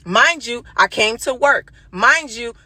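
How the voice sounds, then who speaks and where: A woman's voice: fairly high pitch, lively intonation, slow, sharp tone, husky, no room sound. A sassy, emphatic woman telling a personal story casually, with some swearing.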